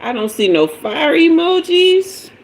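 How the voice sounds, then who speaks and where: A woman's voice: very high-pitched, very animated, unhurried. A woman in her fifties, a tarot reader hosting a casual livestream, chatting playfully with viewers and drifting away from and back to the mic. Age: 50s. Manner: chatty and playful, greeting viewers and asking for emojis.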